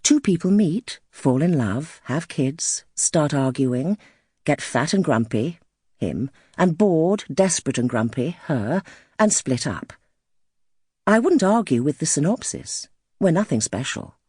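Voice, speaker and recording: A woman narrating first-person literary fiction as an audiobook, in a wry, expressive storytelling manner. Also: low-pitched, unhurried, slightly rough, no echo, studio quality.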